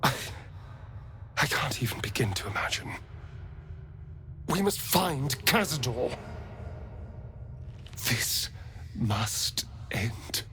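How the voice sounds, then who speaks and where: A man's voice: medium pitch, sing-song, takes it slowly, long pauses, bright, forceful tone, heavily acted. An actor playing a conflicted, flippant male vampire spawn, theatrical and hesitant, in a dramatic video game style. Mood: grim resolve. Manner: theatrical, hesitant, breaking into nervous laughs.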